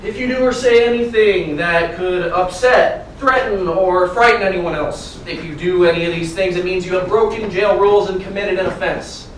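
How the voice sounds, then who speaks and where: A male actor gives us a commanding police officer in a stage play reading. The voice is high and insistent, issuing stern orders. It comes from far off in a very echoey, noisy hall. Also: normal speed, few pauses, lightly acted. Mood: stern, measured.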